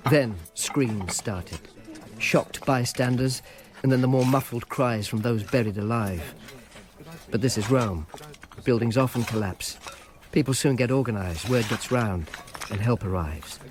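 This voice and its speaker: An actor as Falco, a world-weary Roman informer. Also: male voice, medium pitch, measured pace, a little mellow, lightly acted. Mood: grim. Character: Falco, world-weary, sardonic male informer in ancient Rome. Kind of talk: radio drama narration and dialogue.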